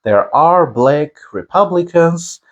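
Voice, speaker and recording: Armenian-accented male teacher, slow deliberate delivery, pausing often on fillers. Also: a little high, lively intonation, muffled recording. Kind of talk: explanatory lesson to camera.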